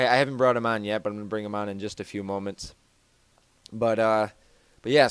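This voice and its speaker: A man's voice: medium pitch, clear, resonant tone, dry recording. A man hosting a casual podcast from home over a voice call, rambling with lots of fillers and restarts. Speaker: podcast host.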